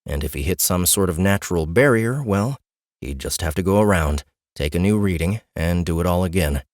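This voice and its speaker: A man's voice: deep voice, expressive, normal speed, no room sound, studio quality. A man reading a scripted fantasy story as an audiobook narrator.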